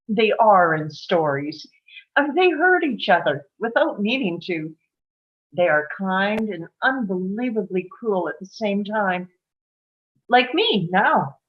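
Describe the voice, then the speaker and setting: A woman acting a wistful, lovelorn small-town woman in a table read, with an earnest, halting, emotional delivery. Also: medium pitch, very animated, dull audio. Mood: tearful, wistful.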